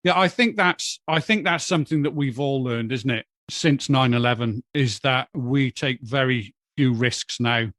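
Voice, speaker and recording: A man, a guest on a podcast, speaking in a measured, thoughtful way with hesitant starts. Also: mid-range voice, a bit bright, no echo, clean studio sound. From Wales. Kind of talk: casual podcast chat.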